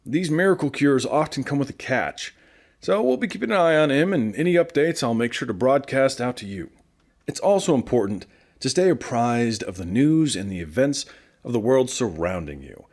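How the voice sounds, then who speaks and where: A man's voice: mid-range voice, sing-song, normal speed, a little mellow. A man playing a chatty small-town morning radio host in a post-apocalyptic audio drama, talking straight to his listeners in a folksy way. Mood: upbeat, chatty. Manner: conversational, addresses listeners directly. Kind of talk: scripted radio show monologue, folksy.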